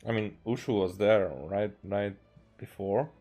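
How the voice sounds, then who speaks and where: A man's voice: fairly low pitch, measured pace, warm tone. A man from Hungary doing casual live game commentary, hesitantly thinking aloud as he plays. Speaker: game streamer. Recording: game music behind the voice.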